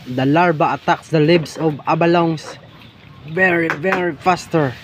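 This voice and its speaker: Halting high-voiced Filipino man, slow. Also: fairly even intonation, background noise. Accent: Filipino, Hiligaynon. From Philippines. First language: Ilonggo (Hiligaynon). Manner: halting, repeats words, searches for English. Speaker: vlogger filming larvae in a field. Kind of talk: rambling improvised narration over nature footage.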